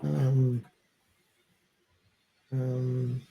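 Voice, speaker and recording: A man's voice: mid-range voice, little pitch movement, drawn-out words, creaky, mellow, slight background noise. A man co-hosting a podcast, discussing films casually and reasoning through his points in an explanatory way. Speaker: podcast co-host.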